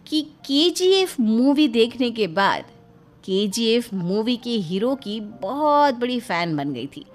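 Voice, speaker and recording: A woman narrates a scripted story at a slow pace. Her voice is fairly high and very expressive in pitch, with music running behind it.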